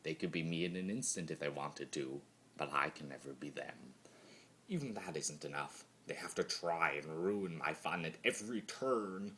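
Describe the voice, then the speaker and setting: A man's voice: extremely low pitch, moderate pace, a bit bright, slight background noise. A man voicing a bitter, mocking male spirit of chaos in a theatrical, brooding, sardonic dramatic reading of fan fiction.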